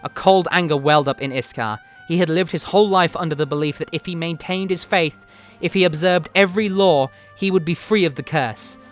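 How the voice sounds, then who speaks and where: A man reading a fantasy story aloud as narrator, with dramatic, deliberate phrasing. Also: fairly high pitch. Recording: music behind the voice. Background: background music.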